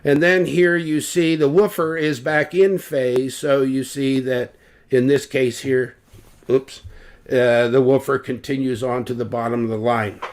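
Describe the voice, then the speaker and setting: An older man slowly explaining loudspeaker measurements, talking deliberately with fillers and restarts, recorded at home on a webcam. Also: mid-range voice, little pitch movement. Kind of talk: technical explanation with drawings. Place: home living room, indoors.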